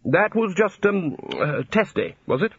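A man voicing a blustering, awkwardly polite senior male wizard in a storybook, fumbling and halting as he speaks. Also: high voice, big pitch swings, breathy edge, no room sound, lo-fi sound, pitched above the performer's own voice, exaggerated voice. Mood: wary.

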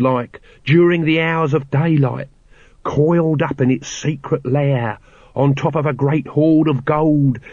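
Brief: man putting on a sly, grubby little watchman, high and wheedling, dull recording. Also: darkish tone, no room sound. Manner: sly, theatrical, sullen.

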